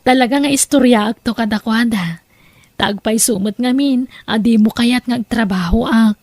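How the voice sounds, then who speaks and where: A woman's voice: fairly high pitch, normal speed, darkish tone, dry recording, lightly acted. A woman playing a long-suffering young wife, affectionate but protesting, in an Ilocano audio drama with a Filipino Ilocano accent. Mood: gentle, protesting.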